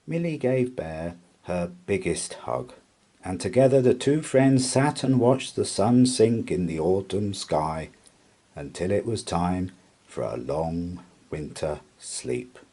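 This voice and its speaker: Slow, animated male storybook narrator. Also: deep voice. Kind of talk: expressive bedtime story read-aloud.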